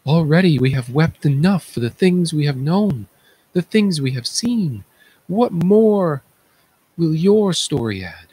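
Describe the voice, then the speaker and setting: A man's voice: fairly high pitch, sing-song, unhurried, mellow, slightly rough, pitched above the performer's own voice, heavily acted, low-quality recording. A man voicing an anguished, pitying Greek chorus member, lamenting in a declamatory tragedy reading. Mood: uneasy.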